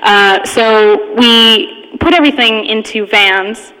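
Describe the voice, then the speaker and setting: A woman giving an informal talk, telling a personal story in a halting, amused manner. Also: fairly high pitch, bright, forceful tone. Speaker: conference speaker, radio hacker community member. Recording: echoey hall with long reverb.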